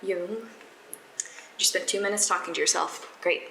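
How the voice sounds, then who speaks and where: An actress playing an earnest, anxious young female medical intern in an audio drama diary, rambling and self-conscious with halting phrases. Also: on the low side, creaky, bright, forceful tone, reverberant, a little hiss or hum, low-quality recording. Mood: flustered. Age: young adult. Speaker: actor.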